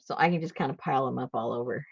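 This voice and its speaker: A woman's voice: a bass voice, rapid-fire, creaky, husky, no echo. A woman from Rhode Island chatting casually while she crafts, laughing often and telling stories. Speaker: craft livestream host. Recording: dull lo-fi sound.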